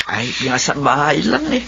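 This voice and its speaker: A gentle elderly mother on old radio. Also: a bass voice, gravelly, background noise, full character voice. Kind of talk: radio drama acting. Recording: dull lo-fi radio with music behind.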